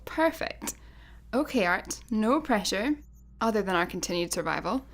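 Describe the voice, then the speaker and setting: A woman's voice: medium pitch, very animated, moderate pace. A woman playing a practical, matter-of-fact space fugitive in a sci-fi comedy radio play. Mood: brisk.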